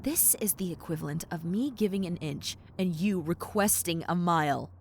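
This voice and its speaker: A woman's voice: on the low side, moderate pace, darkish tone, a little hiss or hum, lightly acted. A woman playing a centuries-old tomboy succubus in an audio roleplay, wry and flustered but kind, talking casually to the listener. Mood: exasperated.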